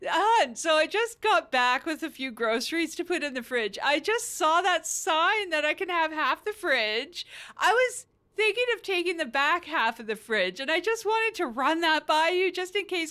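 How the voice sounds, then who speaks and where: Chatty, eager housemate acted by a woman, very high sharp voice, stammering comedy audio drama rambler. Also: lively intonation, normal speed, heavily acted. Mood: apologetic, chatty. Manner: stammering, rambling, repeats self. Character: chatty, eager, apologetic housemate who loves Jenga.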